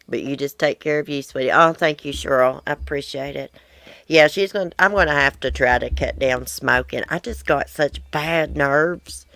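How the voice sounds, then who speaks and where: A woman's voice: sits low, a bit bright, dry recording. Blunt wheezy woman chatting. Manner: blunt, outspoken, rambling. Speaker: livestream host. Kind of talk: casual live chat, reading viewer comments. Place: outdoor porch.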